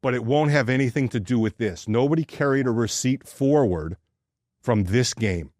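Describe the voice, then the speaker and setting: A man's voice: medium pitch, moderate pace, darkish tone, no room sound, clean studio sound. A man co-hosting a sports podcast, chatting casually in a blunt, crude-humored way. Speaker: podcast co-host.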